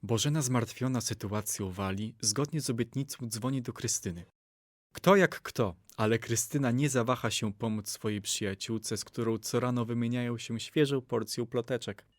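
A man's voice: mid-range voice, moderate pace, no echo, studio quality. A man reading scripted story narration in a formal, wry announcer manner.

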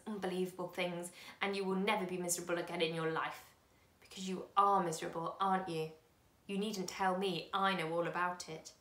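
A wizened, whiskered old man, put on by a woman reading aloud, speaks in a slow, creaky voice, dropping lower and into coaxing whispers. He sounds secretive and strange, a storybook stranger. The reading carries a roomy echo. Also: pushed and bright. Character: small, bald, whiskered, sly old man.

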